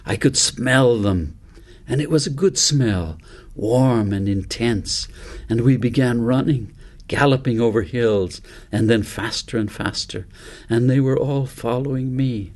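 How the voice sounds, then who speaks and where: A man's voice: mid-range voice, a little slow, darkish tone. A man narrating a story as an author reading his own book aloud.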